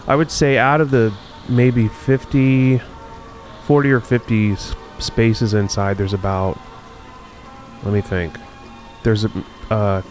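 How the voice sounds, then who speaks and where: A man with a fairly low voice narrates a tour in a relaxed, wandering way, pausing often with ums and uhs. Faint music plays underneath him. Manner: unhurried, musing, many ums and uhs. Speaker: video host touring a closed-down mall. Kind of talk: casual walkthrough narration.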